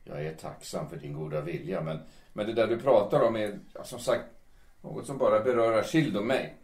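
A man's voice: on the low side, a little mellow, natural voice. A man playing an earnest, lovestruck middle-aged editor in an audio drama, speaking in formal, hesitant phrases. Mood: firm. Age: late 30s. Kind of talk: audio drama dialogue in Swedish. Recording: echoey room.

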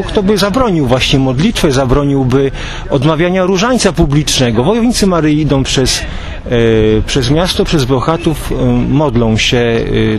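Hesitant man interviewing outdoors. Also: on the high side. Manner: hesitant, many fillers. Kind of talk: street interview.

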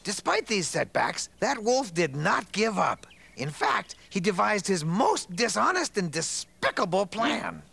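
A man's voice: high voice, big pitch swings, a little slow, gravelly, hardly any pauses, bright, forceful tone, a little hiss or hum, full character voice. A man voicing an eccentric, self-important creature who narrates the story. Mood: narrating.

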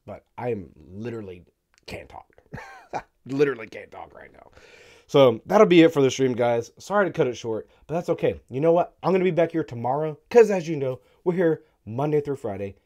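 A man in his thirties hosting a crypto live stream, hoarse and losing his voice, talking bluntly with wry laughs into a studio mic. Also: medium pitch, animated, brisk, warm tone. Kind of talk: casual opinionated commentary. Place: dark studio room, indoors. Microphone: studio mic on stand in front.

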